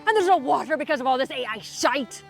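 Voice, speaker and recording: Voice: high-pitched, expressive, fast, gravelly, faint music behind. A performer voicing a grumpy, disillusioned leprechaun in a comedy sketch. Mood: bitter, fed up. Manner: curmudgeonly ranting. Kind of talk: scripted comedy sketch dialogue.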